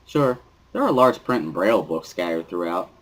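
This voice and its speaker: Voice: sits low, sing-song, moderate pace. A storyteller giving a dramatic reading of a first-person story as narrator.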